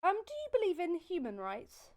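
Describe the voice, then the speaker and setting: A British woman hosting an online debate call, asking calm, probing questions. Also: very high voice, moderate pace. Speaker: vegan debate host.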